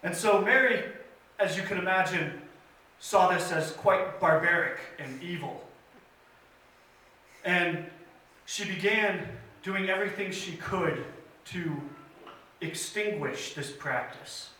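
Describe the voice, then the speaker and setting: A man telling a missionary's life story at a church story time, in an earnest, measured way with pauses and small fillers. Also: high voice, sharp tone. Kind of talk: children's story time retelling. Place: church sanctuary. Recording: very echoey church hall from a distance.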